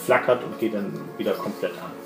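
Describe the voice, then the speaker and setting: A man's voice: on the low side, a little fast, music in the background. A man improvising narration as game master of a tabletop role-playing game. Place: indoor room at a game table. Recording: echoey room with clear background noise.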